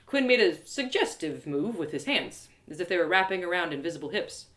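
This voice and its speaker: A woman's voice: lowish voice, lively intonation, a little fast, a bit bright. Woman storyteller in an echoey room. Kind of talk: dramatic first-person story narration. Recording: roomy echo of home live reading.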